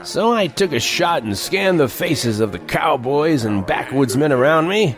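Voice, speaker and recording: A man's voice: fairly high pitch, lively intonation, unhurried. An actor playing a world-weary newspaper reporter who narrates his western tale in a wry first person. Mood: wry, desperate. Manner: wry, hard-boiled storytelling. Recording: music behind the voice.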